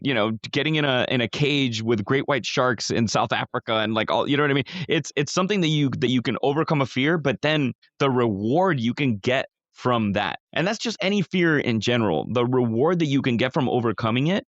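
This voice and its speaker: A man's voice: medium pitch, expressive, fairly quick, hardly any pauses, fairly bright tone, no echo, lo-fi sound. A middle-aged man co-hosting a podcast, chatting casually, rambling with restarts and casual swearing. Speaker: podcast co-host. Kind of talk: casual chat.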